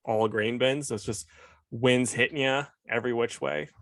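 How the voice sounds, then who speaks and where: A man's voice: mid-range voice, steady tone, moderate pace, gravelly, a bit bright, no echo. A man co-hosting a podcast, chatting casually in a laid-back, rambling way. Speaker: podcast co-host. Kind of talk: casual chat.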